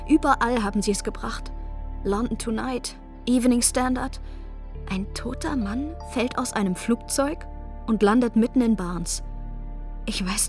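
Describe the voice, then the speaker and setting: Actress doing a frazzled self-absorbed mum, flustered chatter, mid pitch, faint music. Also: female voice, a little mellow, close to an everyday voice. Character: frazzled mother of young children, self-absorbed, anxious. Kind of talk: radio drama monologue.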